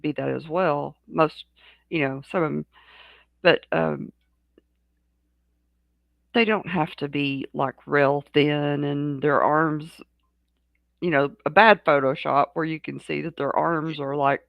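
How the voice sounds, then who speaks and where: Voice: medium pitch, fairly even intonation, slow pace, creaky, pauses often, no room sound, highs cut off. A co-host in a casual talk show, speaking frankly in a rambling way with many restarts. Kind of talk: casual chat.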